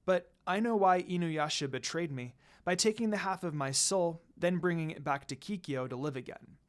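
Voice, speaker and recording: A storyteller voicing a gentle, earnest teenage girl in a fan fiction reading, soft but determined. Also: medium pitch, moderate pace, natural voice. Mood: earnest, concerned.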